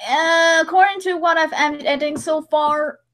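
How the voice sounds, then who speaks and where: A woman's voice: sits high, fairly even intonation, normal speed, a bit bright. A woman chatting casually on a livestream in a playful, joking way. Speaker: livestream host editing a dramatic reading. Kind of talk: casual chat.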